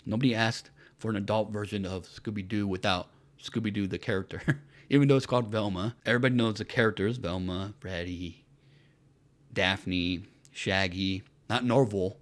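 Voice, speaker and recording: A man's voice: on the low side, normal speed. A man giving mocking commentary on entertainment news, sarcastic and fond of repeated rhetorical questions. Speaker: commentary channel host.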